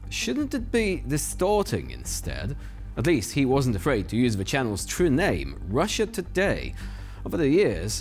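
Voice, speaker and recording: A man hosting a news show, delivering scripted satirical commentary in a sardonic, mocking manner. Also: on the high side.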